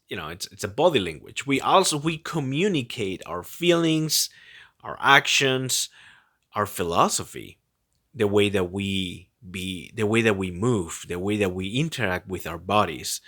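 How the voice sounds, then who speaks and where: Halting man, bright voice. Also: on the low side, lively intonation. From born in Peru, grew up in Spain. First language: Spanish. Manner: halting and rambling, frequent self-repetition. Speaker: podcast host. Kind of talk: casual solo podcast monologue.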